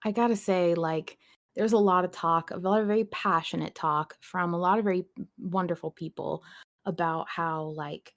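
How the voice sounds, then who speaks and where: Emphatic woman with a lowish voice. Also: steady tone, normal speed. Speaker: podcast host. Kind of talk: casual fan discussion of an audio drama.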